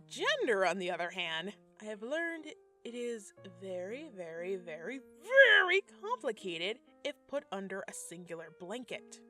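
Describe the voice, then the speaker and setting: Female commentator, sassy and conversational, bright forceful voice, lively intonation. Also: on the high side. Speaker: video essay narrator. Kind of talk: scripted commentary with slangy asides. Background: background music.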